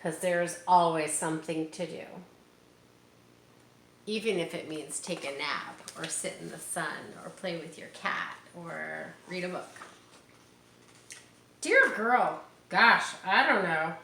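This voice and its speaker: A woman reads a picture book aloud to children like a teacher, with expressive emphasis and chatty, encouraging asides. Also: lowish voice, creaky. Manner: expressive, drawn-out emphasis on key words; encouraging, explaining to young listeners. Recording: roomy echo with background noise.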